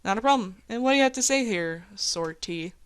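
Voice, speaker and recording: A woman's voice: fairly high pitch, lively intonation, brisk, smooth. A woman from the United States chatting casually as a video host, informal and encouraging. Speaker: video host, amateur voice actor. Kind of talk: casual chat.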